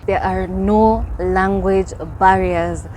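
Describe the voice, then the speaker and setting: Kenyan woman, young, travel vlogger; unhurried, mid-pitched, chatty, outdoor park setting. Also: fairly even intonation, slight background noise, rough recording. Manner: upbeat, explanatory, warm welcome to viewers. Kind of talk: casual travel vlog.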